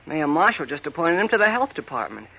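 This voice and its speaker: A man's voice: on the high side, very animated, quick pace, vocal fry, husky, exaggerated voice. A man playing an earnest, eager teenage boy in a 1940s radio drama. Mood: puzzled, earnest. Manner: eager, boyish exclamations. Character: earnest excitable teenage boy, young newspaper pal. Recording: dull, noisy 1940s radio recording.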